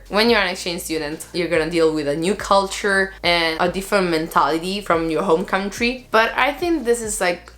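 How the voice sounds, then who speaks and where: A woman's voice: on the low side, a bit bright. A young woman from Italy with an Italian accent, talking casually to camera in earnest, loosely phrased English full of fillers. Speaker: vlogger.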